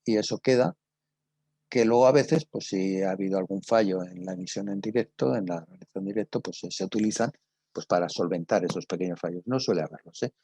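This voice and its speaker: A man's voice: deep voice, a little fast, dark tone, husky, no echo. An older man with a Castilian Spanish accent giving an informal lecture, conversational and digressive, telling anecdotes and addressing his listeners directly. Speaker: lecturer, radio drama teacher.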